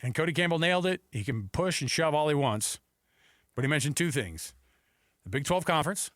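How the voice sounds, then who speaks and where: A man's voice: fairly high pitch, lively intonation, a little fast, fairly bright tone, no room sound, clean studio sound. A man hosting sports talk radio, giving opinions emphatically and repeating his points for weight. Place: radio studio.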